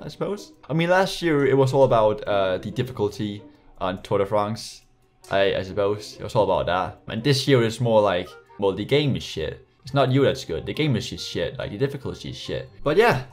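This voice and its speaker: A young man from Denmark with a slight Danish accent, reading comments aloud in his bedroom with sarcastic, laughing asides and lots of restarts. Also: medium pitch. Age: young adult. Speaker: YouTuber. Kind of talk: casual vlog reading comments. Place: small bedroom, indoors. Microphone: USB desk mic.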